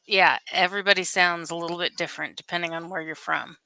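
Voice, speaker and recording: A woman's voice: lowish voice, steady tone, fast, gravelly, pushed and bright. A woman from California hosting a casual chat, explaining things at length with laughs along the way. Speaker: podcast host.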